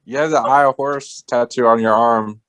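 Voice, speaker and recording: A man's voice: medium pitch, steady tone, a little fast, fairly bright tone. A man making casual, friendly small talk as a participant in a show. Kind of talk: casual chat.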